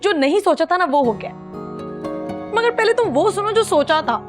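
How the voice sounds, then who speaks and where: A woman's voice: very high voice, very animated, normal speed. An actress playing a theatrical young woman who chats and complains dramatically to a friend, over background music. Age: young adult. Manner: theatrical, self-pitying, chatty.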